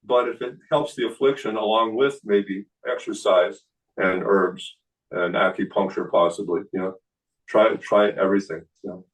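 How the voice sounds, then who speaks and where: A man's voice: on the low side, normal speed, distant mic, low-quality recording. Older man talking with frequent fillers. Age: 60s. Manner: relaxed explaining with many fillers. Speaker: kung fu teacher. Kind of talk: seminar talk. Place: indoor studio room.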